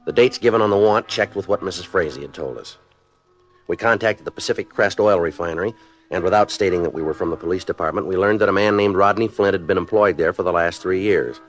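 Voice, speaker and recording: An actor playing a terse, businesslike police detective in a 1950s radio crime drama, deadpan and matter-of-fact. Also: male voice, low voice, fast, faint music behind, natural voice. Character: terse, businesslike male police detective narrating his case.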